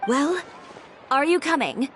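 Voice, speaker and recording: A woman's voice: high-pitched, very animated, slow pace, a little hiss or hum, exaggerated voice. A woman playing a proud, fiery yet composed young fashion designer in a video game, speaking with cool, firm politeness. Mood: brisk, determined.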